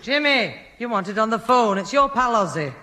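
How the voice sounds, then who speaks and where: Long-suffering brisk mother, swooping bright voice, vintage radio sitcom, echoing hall. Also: on the high side, big pitch swings, over a noisy crowd. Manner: brisk, exasperated. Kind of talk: 1970s British radio sitcom acting. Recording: reverberant old radio broadcast, slight noise.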